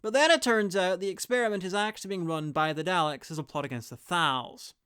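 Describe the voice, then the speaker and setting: A man's voice: high voice, fairly quick, heavy background noise. A man narrating a scripted review in a wry, irreverent way. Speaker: reviewer presenting audio drama clips.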